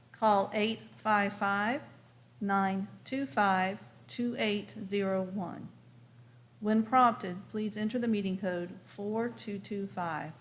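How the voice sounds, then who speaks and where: A woman chairing a public commission meeting, switching between formal procedure and plain conversational speech. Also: medium pitch. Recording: clear background noise, room ambience.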